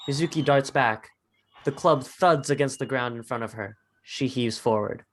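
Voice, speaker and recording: A man with a medium-pitched voice narrating stage directions in a dramatic script reading. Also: normal speed, a bit bright, a little hiss or hum.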